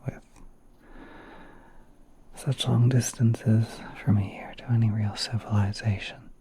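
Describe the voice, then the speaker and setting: A man playing a gentle, courteous spider prince in an ASMR roleplay, speaking in a soft, breathy half-whisper with hesitant, unhurried politeness. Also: fairly low pitch, steady tone. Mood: calm, explaining. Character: gentle, courteous spider prince soothing a guest in his garden.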